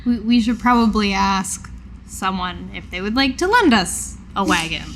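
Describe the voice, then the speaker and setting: A woman talking as a player in a tabletop game, hesitant and deliberate, partly in character. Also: medium pitch, expressive, a little hiss or hum. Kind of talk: in-character casual game discussion.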